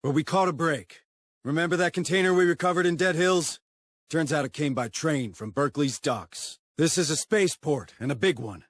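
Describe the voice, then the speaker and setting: An actor playing a blunt, no-nonsense military officer giving a mission briefing in a game. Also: male voice, fairly high pitch, moderate pace, no echo, lightly acted. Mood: businesslike. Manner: blunt, colloquial.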